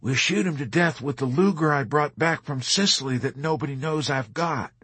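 A man's voice: on the high side, moderate pace, fairly bright tone, husky, no echo, voice pushed up. A man voicing a young male pilot in an audiobook, eagerly persuasive and scheming. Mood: optimistic. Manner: persuasive, arguing.

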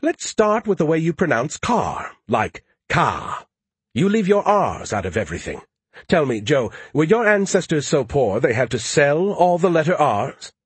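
A man's voice: on the high side, very animated, moderate pace, slightly rough, dry recording, voice pushed up. A man acts out a smug male artificial intelligence in a sci-fi audiobook. He is pompous and theatrical, mocking whoever he talks to with heavy sarcasm. The sound is dry and close. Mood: condescending, mocking.

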